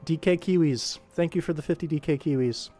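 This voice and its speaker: A man's voice: fairly high pitch, fairly quick, warm tone, soft background music. A man casually commentating on his animation work stream, thinking aloud and chatting informally with viewers. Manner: informal, rambling to himself and viewers.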